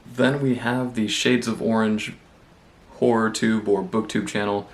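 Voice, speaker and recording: A young man with a cold, congested, chatting casually and rambling in a relaxed, self-deprecating way as a book vlogger. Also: fairly low pitch, unhurried. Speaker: booktube vlogger. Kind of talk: casual vlog chat.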